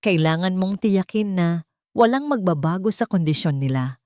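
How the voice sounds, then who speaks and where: A woman's voice: expressive, normal speed, a little mellow, no echo, clean studio sound, close to an everyday voice. A woman voicing a scheming rich man in an audiobook, with a threatening manner. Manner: low, threatening.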